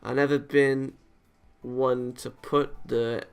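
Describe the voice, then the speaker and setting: Creaky-voiced man reading a game aloud. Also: medium pitch, flat delivery, takes it slowly. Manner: often stumbles over words and rereads them. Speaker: gaming video maker reading a visual novel aloud. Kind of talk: dramatic read-aloud with off-the-cuff reactions.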